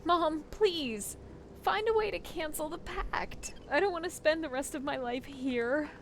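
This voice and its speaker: An actress playing a frightened young daughter held captive in a video game, speaking in an earnest, pleading manner. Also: female voice, high-pitched, unhurried, background noise. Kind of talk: video game character acting.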